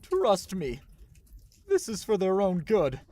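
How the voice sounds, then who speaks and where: A woman's voice: mid-range voice, wildly swooping pitch, slow, warm tone, dry recording, full character voice. A woman playing a grave, protective army general in a fantasy animation, speaking in a firm, serious manner. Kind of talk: animated fantasy drama acting.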